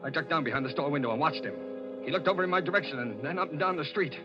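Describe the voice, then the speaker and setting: A man's voice: a little high, rapid-fire, over music, lightly acted. An actor playing an evasive, hesitant man on the run in a 1940s radio thriller. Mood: tense narration. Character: jittery fugitive man with lost memory, evasive noir hero. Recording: muffled, band-limited vintage radio recording.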